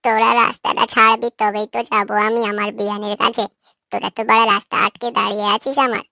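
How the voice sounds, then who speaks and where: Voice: medium pitch, little pitch movement, fast talker, vocal fry, sharp tone, no echo, studio quality. A performer voicing a grumpy, lecherous old village grandpa in a cartoon, drawling and scolding. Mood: grumbling.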